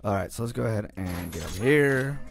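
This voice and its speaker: A young man with a raspy morning voice giving casual let's-play commentary as he plays, often groaning in frustration at the game. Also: fairly low pitch, expressive, a little fast, over music. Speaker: gaming channel host.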